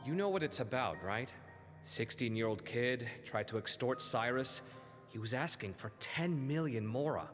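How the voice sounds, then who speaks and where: A man playing an expressive, fussy and chatty young architect in a video game. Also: medium pitch, moderate pace, big-room sound, soft background music, lightly acted. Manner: animated, exclamatory, chatty.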